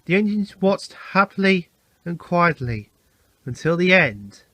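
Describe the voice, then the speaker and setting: A man's voice: sits high, big pitch swings, a little slow, pushed and bright, dry recording. A man reading a scripted children's story as narrator.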